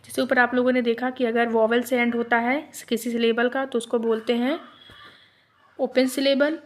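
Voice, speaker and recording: A woman teacher going through exam multiple-choice questions, reading each one out slowly and then explaining it. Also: on the high side, level tone.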